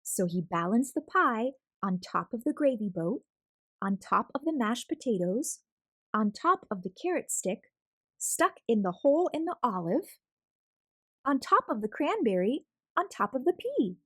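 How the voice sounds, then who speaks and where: A woman reading a children's picture book aloud as a storyteller, expressive and deliberate, drawing out words for emphasis. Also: a little high, no echo. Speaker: storyteller, read-aloud host.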